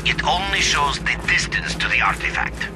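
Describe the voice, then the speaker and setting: A man's voice: fairly high pitch, expressive, moderate pace, music in the background, close to an everyday voice, low-quality recording. An actor playing a practical, watchful male fighter who gives direct instructions in a video game. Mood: instructive. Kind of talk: scripted video game dialogue.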